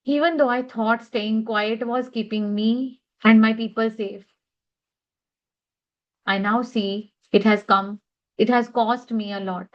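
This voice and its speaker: A woman leading a guided tapping session, speaking affirmations in a slow, measured way. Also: a little high, steady tone, highs cut off.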